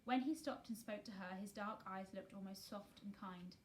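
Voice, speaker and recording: A woman narrating a children's novel aloud in an expressive, scripted storytelling style. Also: mid-range voice, moderate pace, a little hiss or hum. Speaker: storybook reader.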